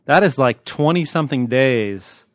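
A man's voice: medium pitch, expressive, unhurried, no echo, studio quality. An American man chatting casually and wryly as a podcast host and TV critic. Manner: wry, joking.

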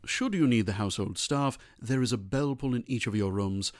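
A man's voice: medium pitch, moderate pace, darkish tone, no room sound, clean studio sound, lightly acted. Man playing a formal, courteous English butler. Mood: obliging. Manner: formal, deferential, precise. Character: courteous, well-travelled head butler of an English estate. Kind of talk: radio comedy-mystery acting.

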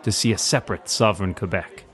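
A man's voice: on the low side, fast talker, a bit bright, soft background music, some chatter behind, lightly acted. A man playing a genial, knowledgeable layabout millionaire playboy in a radio drama parody, jaunty as he explains things. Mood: explaining. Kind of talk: old-time radio drama parody acting.

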